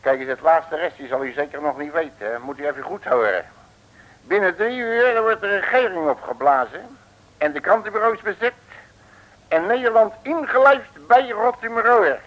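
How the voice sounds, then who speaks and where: Boastful private detective, high-pitched, brash slang, bossy, old radio comedy, dull recording. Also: male voice, expressive, normal speed. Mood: cocky, familiar. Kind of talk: 1950s radio comedy serial acting.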